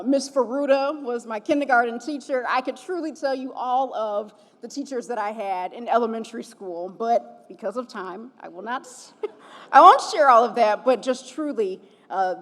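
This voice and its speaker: A young woman from Chicago, a TV reporter, hosting a gala and cheering on the crowd with call-and-response. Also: mid-range voice. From Chicago, USA. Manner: upbeat, rallies the audience with call-and-response. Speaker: event host, TV reporter. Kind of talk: gala emcee speech. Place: large event hall. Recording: long hall reverb from event PA.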